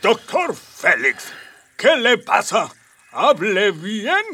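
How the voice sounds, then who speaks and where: Pompous old emperor, high and drawling. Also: male voice, huge pitch swings, very slow, pushed and bright, dry recording, exaggerated voice. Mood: exasperated. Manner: pompous, exasperated, imperious. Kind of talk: comic radio drama acting.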